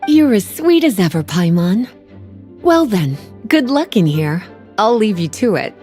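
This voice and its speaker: A woman playing a teasing, languid librarian mage who speaks in an unhurried, coy manner in game cutscene dialogue. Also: mid-range voice, big pitch swings, warm tone, faint music behind.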